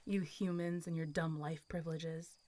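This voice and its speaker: A woman's voice: sits low, steady tone, a little slow, dark tone, dry recording. A woman playing a friendly, teasing, centuries-old zombie girl in an intimate roleplay audio drama, talking in a casual, playful way. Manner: casual, playful, teasing, often laughing and sighing.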